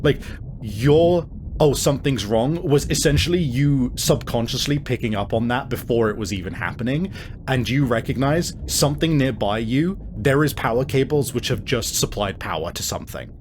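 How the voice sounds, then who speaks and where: A man narrating a tabletop roleplaying game as game master, improvising suspenseful description in a halting way. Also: medium pitch, animated, a little mellow, slight background noise. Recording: music behind the voice. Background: music.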